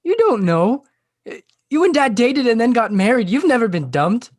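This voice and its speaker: A man playing an earnest, lovesick sixteen-year-old boy in a comedy table read, halting and pleading. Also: very high-pitched, very animated, dry recording, clean studio sound, heavily acted. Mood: upset, whiny.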